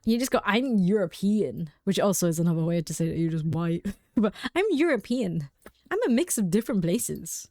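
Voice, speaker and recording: A woman's voice: lowish voice, expressive, moderate pace, a little mellow, no echo, clean studio sound. A young woman streaming a game at home, chatting casually with viewers, laughing often and filling her talk with "like". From United Kingdom. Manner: chatty and giggly, many "like" fillers.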